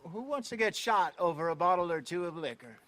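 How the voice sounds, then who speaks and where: An actor playing a smooth-talking male outlaw who bargains in a sly, genial drawl in a video game cutscene. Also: high voice, expressive, brisk, background noise.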